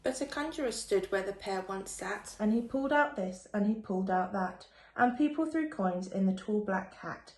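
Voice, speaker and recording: A woman reading a rhyming children's picture book aloud in an expressive, sing-song storytelling manner, like a primary school teacher. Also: mid-range voice, normal speed, hardly any pauses. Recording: echoey room with faint background noise.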